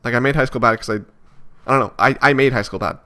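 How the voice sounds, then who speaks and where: A man's voice: medium pitch, quick pace, sharp tone. Hesitant rambling man in his twenties. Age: 20s. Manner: rambling, hesitant, many fillers and restarts. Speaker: vlogger. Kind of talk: reflective casual monologue.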